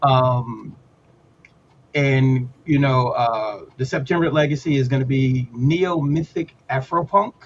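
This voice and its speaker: A man's voice: medium pitch, measured pace, muffled recording, slight background noise. A man guest who designs games, talking casually with many ums. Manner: relaxed, lots of ums. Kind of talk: casual chat.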